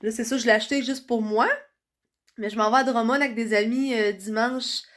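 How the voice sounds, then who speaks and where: A young woman with a Quebec French accent, chatting excitedly in a casual vlog while congested from a cold. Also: a little high, fairly quick. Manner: chatty and excitable, many fillers. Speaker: vlogger.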